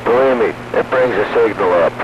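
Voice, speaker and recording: A man's voice: fairly low pitch, a little fast, muffled recording. A man chatting casually about technical matters over two-way radio, explaining things insistently like a hobbyist telling stories. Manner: explanatory, insistent, tells anecdotes. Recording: noisy band-limited two-way radio audio.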